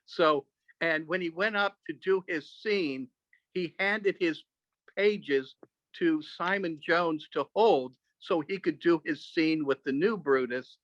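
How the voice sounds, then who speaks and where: A man with a very bright, somewhat high voice shares memories on a group video call. He speaks earnestly with halting pauses, and the sound is dull and close, with no room in it. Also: dry recording. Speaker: speaker sharing memories at an online club meeting.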